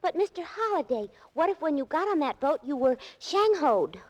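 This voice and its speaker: A fretful young secretary in a 1940s radio drama. Her voice is very high. She sounds naive, fussy and scatterbrained. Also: sing-song, normal speed, lo-fi sound. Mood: worried. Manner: naive, fussy, mangles words.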